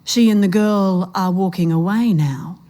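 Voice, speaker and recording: Dark-toned, measured woman reading fiction. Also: lowish voice. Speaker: short story narrator. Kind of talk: literary story reading, suspenseful.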